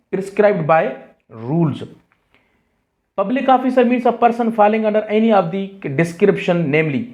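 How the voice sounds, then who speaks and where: A man with a Pakistani accent and a fairly high-pitched voice teaches at a very slow pace. He reads each definition out, then explains it like a tutor, repeating the key phrases. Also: darkish tone. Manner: mixes Urdu-Hindi with English, didactic, repeats key phrases. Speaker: law exam tutor. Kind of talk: explanatory lesson, reading definitions and explaining them.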